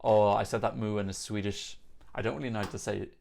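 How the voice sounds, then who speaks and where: A man's voice: on the low side, fairly even intonation, fast talker, vocal fry, a little mellow. A man giving casual, off-the-cuff reaction commentary in a chatty, opinionated way, thinking aloud as he goes. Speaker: host of a music reaction show. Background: songs from music videos being reviewed.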